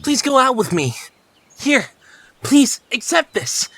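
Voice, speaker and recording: A woman's voice: sits high, big pitch swings, slow pace, a bit bright, exaggerated voice. A woman voicing an awkward, casual teenage boy making advances in an anime fandub radio play.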